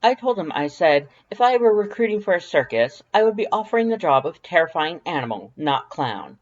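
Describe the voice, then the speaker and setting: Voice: mid-range voice, very animated, fairly quick, dull audio, voice pushed up. A reader voicing a pompous, theatrical, proud male skeleton with fussy formal diction in an audiobook story. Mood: proud, indignant.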